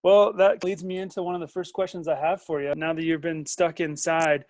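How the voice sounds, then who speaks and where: A man's voice: on the high side, level tone, a little fast, darkish tone, no room sound, clean studio sound. A man speaking as the host of an interview, introducing and asking questions. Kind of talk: hosted interview.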